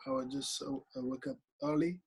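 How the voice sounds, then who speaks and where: Tired soft-spoken man. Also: medium pitch, fairly even intonation, slightly rough. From Congo, raised in Paris. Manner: halting non-native English, soft-spoken. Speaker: guest. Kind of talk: casual interview conversation.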